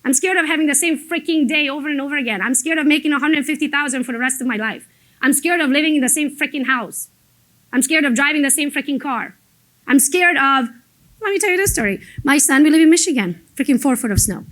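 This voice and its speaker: High-voiced woman coach. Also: fast talker, fairly bright tone. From abroad, emigrated to the United States as an adult. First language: not English. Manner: blunt, emphatic, conversational storytelling with mild swearing. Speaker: motivational business coach. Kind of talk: informal motivational training talk to a group.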